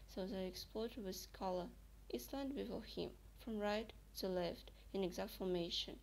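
Young woman reading a novel passage out loud, middle pitch, in a room. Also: rough recording. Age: young adult. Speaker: student reader. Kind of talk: reading literary prose aloud. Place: dim indoor room.

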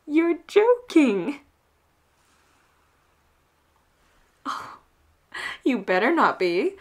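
Slow, breathy, flirty pirate captain played by a woman. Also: fairly high pitch. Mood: incredulous, threatening. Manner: teasing, breathy, often dropping toward a whisper. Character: flirty, dominant, cocky female pirate captain. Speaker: voice actor. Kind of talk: roleplay audio drama acting.

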